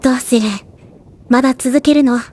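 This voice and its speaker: A woman voicing a stoic, battle-hardened female death god in an anime drama, with measured, composed dramatic delivery. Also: sits high, little pitch movement, warm tone. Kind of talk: anime voice drama, scripted narration and dialogue.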